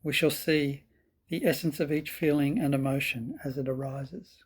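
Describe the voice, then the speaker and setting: A man with a slightly husky voice guiding a meditation in calm, measured, deliberate phrases. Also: a little high. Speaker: meditation teacher. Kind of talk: slow guided meditation.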